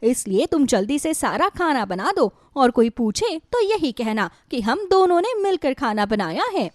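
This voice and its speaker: A woman plays a cruel young elder sister-in-law from a moral storybook, high-pitched and swooping. She talks down in a bossy, scornful, taunting way, in a close, dry sound. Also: big pitch swings, normal speed, no room sound. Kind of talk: dramatized Hindi moral storybook.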